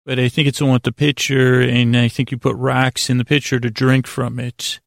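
Guy doing a sleepy-time podcast ramble, flat pitch, dry close sound, keeps wandering off and putting himself down, lulling. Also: creaky, no echo. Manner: meandering, halting, self-deprecating, repeats himself; lulling, soothing delivery. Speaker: podcast host. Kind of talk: rambling bedtime-story podcast monologue.

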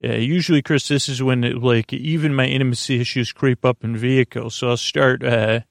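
Creaky-voiced man rambling gently at bedtime. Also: medium pitch, steady tone, no room sound, studio quality. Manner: meandering, halting, repetitive, goofy. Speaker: podcast host.

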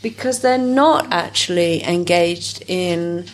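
A woman's voice: fairly low pitch, takes it slowly, rough recording. A woman speaking thoughtfully in a discussion, with some hesitation. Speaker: discussion participant. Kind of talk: discussion talk.

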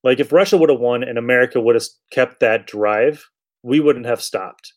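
A man's voice: mid-range voice, normal speed. Irreverent, blunt man chatting casually. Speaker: podcast host. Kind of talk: casual chat, discussing an old radio show.

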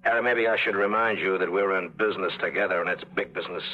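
A man's voice: low voice, fast, close to an everyday voice. An actor playing a cold, smug male crook with a sneering, threatening manner in an old radio drama. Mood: menacing. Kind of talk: 1950s radio drama acting. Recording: muffled old radio broadcast.

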